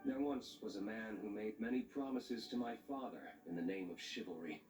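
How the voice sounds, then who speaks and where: A man's voice: on the low side, moderate pace, darkish tone, roomy sound, faint music behind, lightly acted, poor audio. An actor playing a brooding, composed nobleman in a video game, speaking formally and coolly. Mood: cool, grave. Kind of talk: dramatic video game voice acting.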